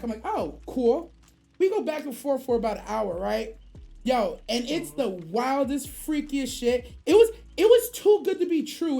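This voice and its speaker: A woman's voice: high-pitched, warm tone. A woman streamer telling a casual story in profane slang, acting out both sides of the dialogue.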